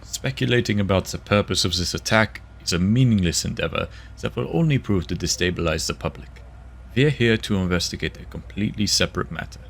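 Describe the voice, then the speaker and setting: A man playing a guarded, matter-of-fact investigator in a sci-fi audio drama, with a dry, measured delivery. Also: deep voice, animated, gravelly, full character voice. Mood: aloof.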